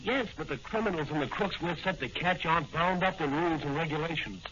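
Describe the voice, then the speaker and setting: A man's voice: on the high side, lively intonation, a little fast, lightly acted. An actor playing an eager, brave young rookie patrolman and secret crimefighter in a 1940s radio drama style. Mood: earnest, restless. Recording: muffled, noisy old-time radio recording.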